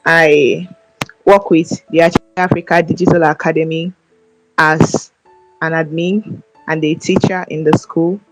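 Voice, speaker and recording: A young Nigerian woman from Ebonyi State answering interview questions politely and softly, with hesitant restarts. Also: sits low, level tone, a little slow, faint music behind, rough recording. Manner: polite, soft-spoken, hesitant with fillers and restarts. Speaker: guest.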